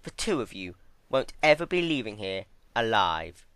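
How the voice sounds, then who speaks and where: A man's voice: on the low side, very animated, moderate pace, a bit bright, dry recording, voice pushed up. A man voicing a young female pony tyrant, teasing and gloating in a mockingly cheerful way in a dramatic story reading. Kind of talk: dramatic fan fiction reading.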